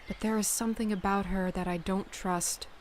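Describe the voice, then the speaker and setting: A woman voicing a measured, commanding female cat who leads her clan in an audiobook fan dub. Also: mid-range voice, steady tone, a little hiss or hum, natural voice. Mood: stern. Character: authoritative mature female cat clan leader, measured and stern.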